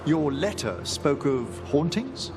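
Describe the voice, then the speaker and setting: A man's voice: on the high side, sing-song, slow pace, over music. A man playing a mild-mannered, scholarly necromancer in a fantasy video game, speaking formally and politely with precise phrasing. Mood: polite, concerned.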